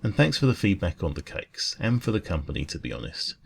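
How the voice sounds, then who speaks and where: A man playing a kind, friendly dragon who runs a café, speaking softly and chattily in a calming ASMR roleplay. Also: low-pitched, brisk, creaky, slightly rough, full character voice. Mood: gentle, reassuring. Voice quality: soft, calming. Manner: gentle, reassuring, chatty. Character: kind, friendly male dragon café owner closing up shop.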